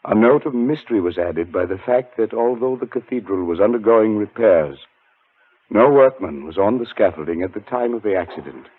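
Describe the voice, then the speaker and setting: An actor playing a haunted man relieved to escape a curse, in measured 1940s radio drama style. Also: deep voice. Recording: muffled 1940s radio broadcast, music behind.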